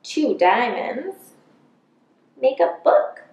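Woman reading as eager, expressive little storybook mice. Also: a little high, muffled recording, exaggerated voice. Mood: explaining. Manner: emphatic, expressive, drawn-out words. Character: small storybook mice, eager, clever and playful. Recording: roomy indoor echo.

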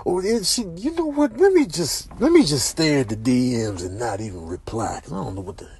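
Male comedy voiceover, exasperated and exclamatory, big pitch swings. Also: very animated, brisk, darkish tone. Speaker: comedian narrating viral clips. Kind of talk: comic commentary voiceover.

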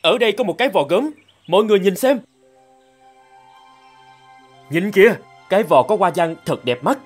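A man playing an eager, plain-spoken relic digger in an animated fairy tale. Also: high-pitched, quick pace, soft background music. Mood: excited.

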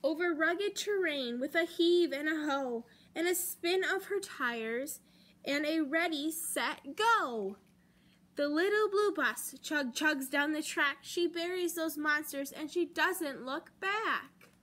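A young American woman reading a picture book aloud to children as a storytime host, with deliberate, dramatic emphasis on key words. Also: high voice, lively intonation, a bit bright. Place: library children's room, indoors.

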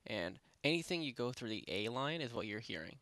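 A man narrating a software tutorial in a casual, unscripted, rambling way, speaking informally with lots of filler phrases. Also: medium pitch, sing-song, brisk, no echo. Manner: rambling, informal, repeats "basically" and "you know". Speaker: tutorial presenter. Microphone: close headset microphone.

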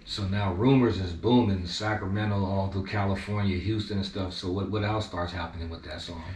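Deep-voiced interviewer heard from afar. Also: male voice, steady tone, a little fast, a little mellow. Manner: easygoing, with short affirmations. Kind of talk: casual interview. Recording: distant, echoey with faint hiss.

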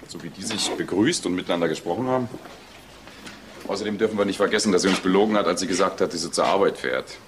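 An actor plays a sober male investigator in a crime drama. His voice is low and he talks matter-of-factly. Noticeable noise runs behind him. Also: fast, lightly acted. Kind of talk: German TV crime drama acting.

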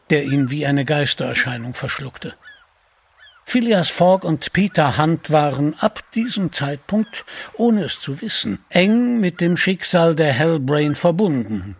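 A man's voice: a little high, husky, exaggerated voice. A man narrating a scripted sea adventure audio drama as its storyteller. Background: music behind the voice.

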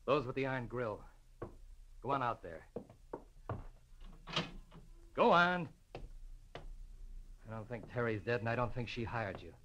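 An actor playing a tense, short-tempered, threatening American husband in 1940s radio drama style. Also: male voice, mid-range voice, lively intonation, slow, pauses often, bright, forceful tone. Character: short-tempered, menacing jealous husband with a gun. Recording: old radio recording, faint noise.

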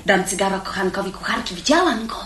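A woman's voice: mid-range voice, animated, fast talker, a bit bright, natural voice. An actress playing a brash, impudent teenage girl who talks bluntly and teasingly in a theatrical radio play. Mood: cheeky. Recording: echoey old radio recording, faint noise.

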